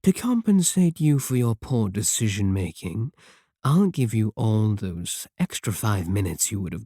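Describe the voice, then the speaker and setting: A man playing a coolly sardonic villain in an audio roleplay, unhurried, condescending and softly threatening. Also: on the low side, no echo, clean studio sound, heavily acted. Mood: cold, menacing. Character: menacing, coolly sardonic male villain of an evil organization. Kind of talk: scripted ASMR audio roleplay.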